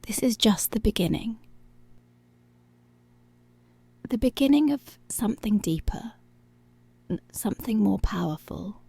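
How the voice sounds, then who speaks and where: A woman playing a dominant, seductive policewoman in an intimate ASMR roleplay, giving hushed, deliberate commands that are possessive yet tender, close to the microphone. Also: medium pitch, lively intonation, creaky, husky, no echo. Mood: possessive, soothing. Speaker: ASMR voice actor. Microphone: close, dry microphone.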